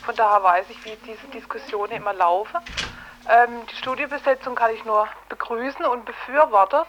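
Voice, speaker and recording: A woman's voice: fairly high pitch, steady tone, normal speed, a bit bright, lo-fi sound, a little hiss or hum. An outspoken, opinionated woman arguing colloquially in a casual radio discussion, often ending phrases with tag questions. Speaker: radio station editorial staff member calling in.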